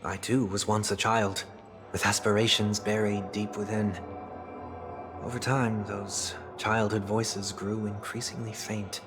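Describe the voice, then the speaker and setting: Casting: soft-spoken fallen idealist, young, lowish voice, wistful and poetic game drama, clear music underneath. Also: male voice, little pitch movement, a little slow. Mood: rueful. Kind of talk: dramatic video game cutscene acting.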